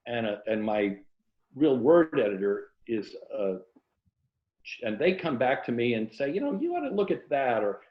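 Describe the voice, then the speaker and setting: A man's voice: medium pitch, very animated, moderate pace. An older American man in a casual interview about his craft, thinking aloud in a conversational way. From Tampa, Florida, United States. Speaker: author, interview guest. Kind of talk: casual literary interview chat. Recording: muffled, echoey room audio.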